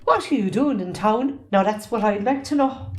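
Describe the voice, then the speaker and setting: A woman acts a middle-aged Irish farmer's wife in an amateur drama. Her voice is fairly high and very animated in pitch, with a questioning, prying manner. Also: normal speed, lightly acted. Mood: dry, curious. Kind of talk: amateur stage drama acting.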